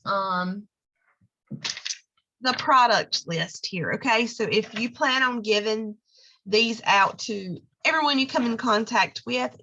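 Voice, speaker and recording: A hoarse woman, giving a chatty how-to talk and often checking in with "okay?". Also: a little high, bright, forceful tone, dull audio. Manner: chatty, lots of fillers and "okay?" check-ins. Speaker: direct sales consultant.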